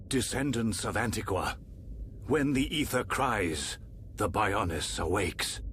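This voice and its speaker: Deep-voiced, solemn fantasy emperor. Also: male voice, slight background noise. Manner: formal, measured, grave. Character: solemn aging emperor, stately fantasy ruler and father. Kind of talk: dramatic video game voice acting.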